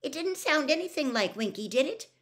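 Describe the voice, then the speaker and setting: A woman's voice: high-pitched, big pitch swings, brisk, fairly bright tone, voice pushed up. A woman voicing a clever teenage girl in an audiobook, nervous but determined, making an earnest appeal.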